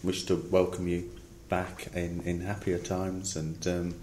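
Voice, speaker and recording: A man with a deep, flat voice gives an official but informal welcome. He stumbles and hesitates as he goes, recorded in an echoey room with faint noise. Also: measured pace. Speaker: town official giving a welcome.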